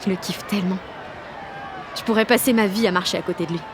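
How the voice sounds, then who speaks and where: A woman's voice: medium pitch, fast, background noise, close to an everyday voice. An actress playing a slangy, self-conscious sixteen-year-old girl in a French audio drama, gushing and mocking herself as she narrates. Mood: wide-eyed wonder. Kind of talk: audio drama, slangy first-person inner narration and dialogue.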